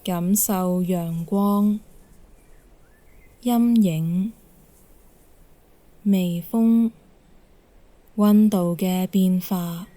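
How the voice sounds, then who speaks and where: A woman leads a guided meditation as an instructor, calm and measured, giving gentle instructions with pauses. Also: on the low side, dry recording.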